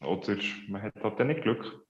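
A man's voice: on the low side, animated, moderate pace. A man with a Swiss German accent, a guest in a casual interview who thinks aloud in a reflective, hesitant way. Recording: roomy, dull lo-fi, faint music.

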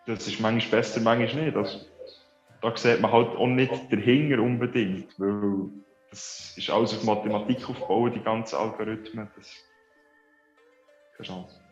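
A man's voice: fairly low pitch, steady tone, normal speed. A man with a Swiss German accent speaks in a reflective, hesitant way as an interview guest. The recording sounds roomy and a little dull, with faint music underneath. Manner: reflective, hesitant, thinking aloud. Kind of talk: casual interview chat.

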